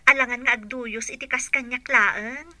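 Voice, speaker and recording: A woman playing an excited young friend who shares gossip. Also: on the high side, normal speed, no room sound. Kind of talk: radio drama acting.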